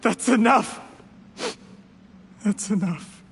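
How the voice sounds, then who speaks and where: A man's voice: sits high, very slow, gravelly, heavily acted. A man playing an ambitious, defensive schemer in a video game, speaking in an earnest, self-justifying and pleading way. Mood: anguished. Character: ambitious, defensive male schemer of lowly origins. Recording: long reverb, faint noise.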